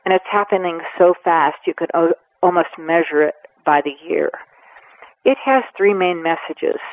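A woman in her seventies giving a radio interview, talking earnestly and with emphasis, pausing to weigh her words. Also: lowish voice. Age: 70s. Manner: earnest and emphatic, with measured pauses. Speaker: conservation advocate guest. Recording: tinny phone line, dry.

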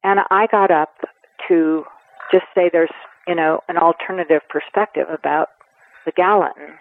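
A woman in her seventies giving a radio interview, talking earnestly and with emphasis, pausing to weigh her words. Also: fairly low pitch, fairly even intonation, slight background noise. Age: 70s. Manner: earnest and emphatic, with measured pauses. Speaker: conservation advocate guest. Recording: tinny phone line, dry.

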